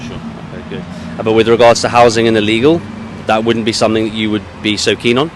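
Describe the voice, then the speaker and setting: A man holding a microphone out on the street, questioning passers-by in a probing, leading way and circling back on his words. His voice sits a little low, and faint traffic hums behind him. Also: quick pace. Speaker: street interviewer. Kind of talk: vox-pop street interview. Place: outdoors on a street near a university. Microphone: handheld mic.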